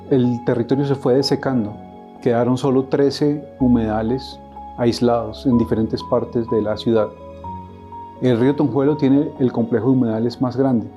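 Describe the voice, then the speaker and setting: A man's voice: mid-range voice, dark tone. A man explaining in a documentary interview, heard in a dull, muffled recording.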